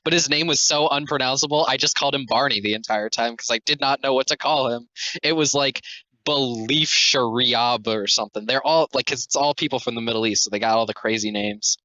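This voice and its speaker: A teenage boy chatting casually with a friend between audiobook readings, excitable, laughing and swearing often. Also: medium pitch, a little fast, no room sound, highs cut off. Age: teens. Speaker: audiobook reader and channel host.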